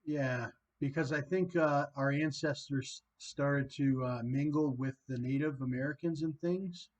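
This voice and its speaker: A man's voice: fairly high pitch, steady tone, measured pace, lo-fi sound. A man from Canada hosting a casual phone chat, rambling with lots of fillers. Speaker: host calling a guest.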